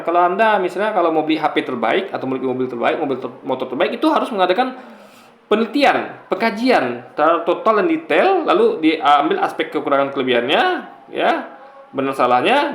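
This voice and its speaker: High-voiced man in an echoey room. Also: fairly bright tone. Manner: halting and repetitive, explanatory, often checks listeners follow. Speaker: YouTuber. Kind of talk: casual commentary monologue to viewers.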